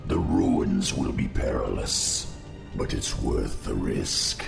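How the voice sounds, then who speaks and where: An actor playing a solemn, ancient undead crypt lord in a video game, with a deep gravelly voice. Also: male voice, sing-song, music in the background, heavily acted. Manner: slow, solemn. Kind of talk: video game cutscene acting. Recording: echoing voice, loud game soundtrack.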